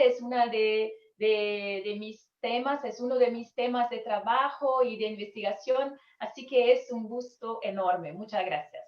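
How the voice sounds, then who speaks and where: A woman's voice: fairly high pitch, reverberant, lo-fi sound. A Brazilian woman whose first language is Portuguese, giving an online conference lecture in careful, hesitant second-language Spanish over a video call.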